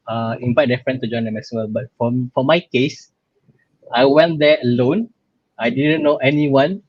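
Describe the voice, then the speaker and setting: A man's voice: medium pitch, no room sound. Young malaysian guy sharing stuff on a video call, kinda hesitant, bit lo-fi and dry. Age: young adult. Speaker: guest speaker, exchange student alumnus. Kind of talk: informal presentation of personal experience. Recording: slightly dull, lo-fi online-call audio.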